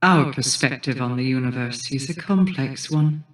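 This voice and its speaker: A woman's voice: very deep voice, moderate pace. An actress playing a regal, guarded fantasy queen in a video game, speaking in a formal, imperious manner. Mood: commanding, guarded. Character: regal, solemn, guarded Awoken queen, vengeful and commanding. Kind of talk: scripted video game dialogue. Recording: echoing, distant-sounding game voice.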